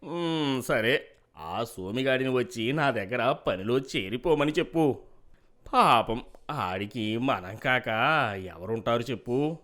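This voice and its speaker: A man's voice: mid-range voice, lively intonation, slow, a bit bright. A man playing a scheming older village headman in a moral story, coaxing and patronizing in rustic speech. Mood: feigned pity. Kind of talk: moral story character dialogue.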